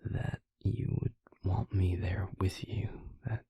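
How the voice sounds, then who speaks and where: A man playing a gentle, devoted vampire lover in an intimate roleplay audio, speaking tenderly and haltingly in a breathy, gravelly near-whisper. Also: low-pitched, flat delivery, dry recording, full character voice. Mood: touched, devoted. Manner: slow, tender, halting delivery.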